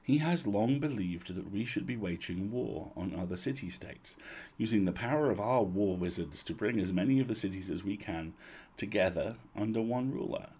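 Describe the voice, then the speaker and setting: Guy doing a tired old noblewoman in a storybook, formal, sad n grave, kinda raspy. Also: fairly low pitch, lively intonation, a little mellow. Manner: formal, measured phrasing, sighing. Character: weary, regretful old duchess, formal and grave.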